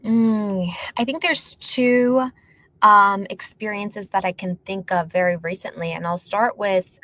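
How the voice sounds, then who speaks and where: A woman's voice: mid-range voice, fairly even intonation, measured pace, fairly bright tone, dry recording. A young adult woman guest on a podcast interview, telling her story earnestly and emphatically, with frequent fillers. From born in Palestine, raised in North Philadelphia.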